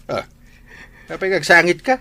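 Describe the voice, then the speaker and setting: An actor playing a lively, plain-spoken, fatherly older man in an Ilocano audio drama. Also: high-pitched, a little slow, exaggerated voice. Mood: animated, urging. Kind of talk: Ilocano radio-style audio drama acting.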